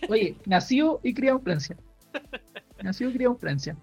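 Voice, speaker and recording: A man's voice: high voice, animated, darkish tone, soft background music. Rambling man with dull podcast audio. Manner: halting, many fillers and restarts while explaining. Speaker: podcast co-host. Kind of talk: casual chat recommending a book.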